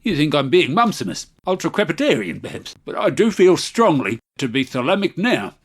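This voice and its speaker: A man's voice: a little high, big pitch swings, brisk, breathy edge. A man playing a pompous older professor who rambles in obscure words with many hesitations. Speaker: studio guest.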